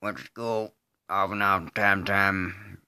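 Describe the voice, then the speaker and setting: A slow-witted country man from a film drama, confessing without remorse in a Southern US drawl. The voice is low, hard-edged and resonant. He speaks in flat, halting phrases, broken by grunted hums. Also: clear, resonant tone, no echo, exaggerated voice. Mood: flat, matter-of-fact. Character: slow, simple-minded rural man confessing a killing, flatly unrepentant. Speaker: amateur voice artist. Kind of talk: dramatic monologue re-enactment of a film scene.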